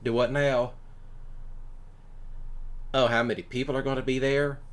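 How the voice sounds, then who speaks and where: A man improvising a casual boyfriend roleplay, talking in a folksy, halting way with drawn-out pauses. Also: mid-range voice, little pitch movement. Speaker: ASMR roleplay performer.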